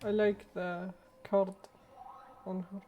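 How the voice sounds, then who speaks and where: Slow, mellow-voiced woman livestreamer. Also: mid-range voice, flat delivery, slight background noise. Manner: musing, echoes phrases from the game. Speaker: game livestreamer. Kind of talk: casual livestream reactions while playing.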